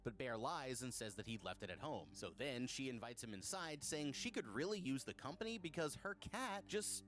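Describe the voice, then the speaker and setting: A man's voice: medium pitch, animated, normal speed, fairly bright tone. A man reading a scripted, casual movie recap as a video narrator, in a slangy, jokey storytelling manner. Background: music.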